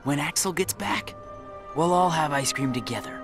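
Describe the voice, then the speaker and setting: A man playing an earnest, uncertain teenage boy in a game cutscene, soft, sincere and hesitant. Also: on the high side, lively intonation, moderate pace, music in the background. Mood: wistful. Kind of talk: video game cutscene acting.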